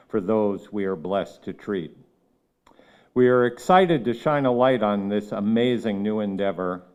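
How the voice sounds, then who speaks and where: A man leading a solemn opening reflection at a ceremony, speaking in a measured, reverent way that falls at the end of each phrase. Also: on the low side, darkish tone, smooth. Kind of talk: solemn spoken prayer. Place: ceremony at a medical center, crowd gathered.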